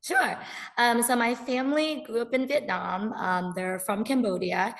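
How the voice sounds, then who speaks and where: A woman's voice: on the high side, steady tone, a little slow, a bit bright. A woman, chatting as a podcast guest. She is upbeat, laughs often and fills gaps with ums. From United States. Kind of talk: casual interview chat.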